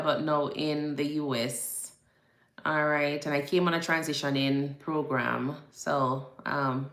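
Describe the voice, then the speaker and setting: A woman from Jamaica with a low voice chats casually on a livestream, greeting viewers by name and repeating herself often. She is a nurse. Her voice carries the echo of a room. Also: fairly bright tone. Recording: echoey room.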